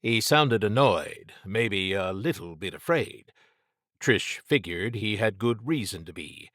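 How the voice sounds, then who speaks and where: A man reads scripted fiction as an audiobook narrator. His voice is fairly low and the telling is dramatic. The recording is dry, with very little room sound. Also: expressive, slow pace, a bit bright, no echo.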